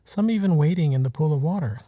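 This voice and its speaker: A man reading a short story as scripted narration, in a measured, dramatic manner. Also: on the high side, lively intonation, no room sound, dull audio. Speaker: author reading his own short story.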